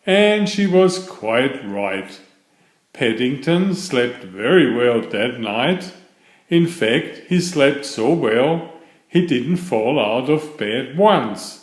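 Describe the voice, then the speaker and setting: Slow, lively male storybook reader. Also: medium pitch. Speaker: children's story reader and author. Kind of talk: scripted storybook narration, read aloud. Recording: roomy, echoing sound.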